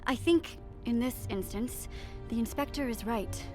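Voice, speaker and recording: An actress playing a composed, determined young senator in an animated series, earnest and assertive. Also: female voice, fairly high pitch, unhurried, faint music behind. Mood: urgent, insistent. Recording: dramatic score behind the voice.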